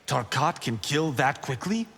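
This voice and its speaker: A man's voice: mid-range voice, very animated, measured pace, vocal fry, heavy background noise. A man playing a proud, eager young martial arts monk in a video game, boastful and self-assured. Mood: curious, casual. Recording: long hall reverb.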